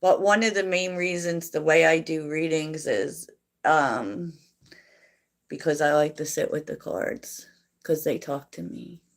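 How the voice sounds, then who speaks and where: A woman hosting a tarot livestream, chatting softly and reflectively with viewers and laughing often. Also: sits low, measured pace. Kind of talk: casual livestream chat answering viewers.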